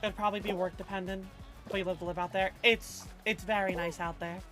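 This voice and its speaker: A woman's voice: mid-range voice, steady tone, fairly quick, a bit bright. A woman livestreamer chatting casually with her viewers, reading chat aloud in a loose, rambling, slangy way. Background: faint background music.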